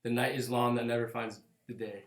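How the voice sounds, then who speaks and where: A man's voice: medium pitch, little pitch movement, brisk, vocal fry, natural voice. A man acting a resolute noble leader in a Shakespearean radio play, exhorting in declamatory verse in an echoey room. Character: resolute young noble leader urging revenge.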